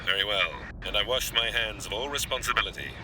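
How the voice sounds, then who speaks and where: An actor playing an aloof, forbidding scientist who speaks formally and stiffly in an old-time radio sci-fi play. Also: male voice, on the low side, quick pace, bright, forceful tone, a little hiss or hum, low-quality recording. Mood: warning. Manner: formal, stiff, insistent warnings. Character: aloof, forbidding male scientist, long-isolated castaway.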